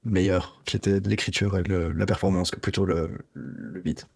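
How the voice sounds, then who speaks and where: A man's voice: sits low, steady tone, normal speed, creaky, darkish tone, breathy edge. A man in his thirties from Belgium, a Greek speaker, chatting casually as a rapper in an interview. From Belgium, Greek parents.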